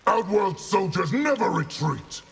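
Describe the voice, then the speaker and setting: Stern gravelly warlord general. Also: male voice, sits high, sing-song, full character voice. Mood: stern, commanding. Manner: commanding, forceful, deliberate. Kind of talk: fighting game character acting. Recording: reverberant hall, background noise.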